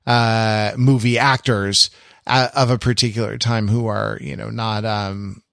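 A man's voice: lowish voice, little pitch movement, measured pace, gravelly, a bit bright, smooth, no echo, clean studio sound. A man co-hosting a podcast, chatting casually in a wry, digressive way as he thinks aloud. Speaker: podcast co-host. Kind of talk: casual pop-culture discussion.

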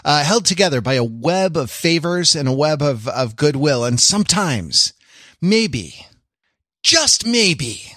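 Higher-voiced American podcast host, dry studio sound. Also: male voice, sing-song, a bit bright, no room sound. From California, United States. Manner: halting, wordy, mock-formal delivery. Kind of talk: casual, wry podcast introduction and banter.

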